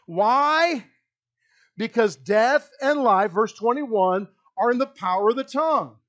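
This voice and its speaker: A middle-aged man with a Kentucky accent preaching a lively, animated sermon in a small church hall on a handheld mic, often repeating himself as he paces the stage. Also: very high-pitched, slow. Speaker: pastor. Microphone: handheld mic, filmed by a far wide camera.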